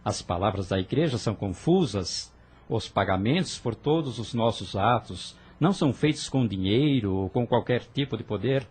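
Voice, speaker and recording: A man's voice: lowish voice, expressive, normal speed, a little mellow, slightly rough. A man playing an earnest, idealistic young rebel who argues passionately with his sister in an audio drama. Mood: persuasive. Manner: fervent, persuasive, earnest.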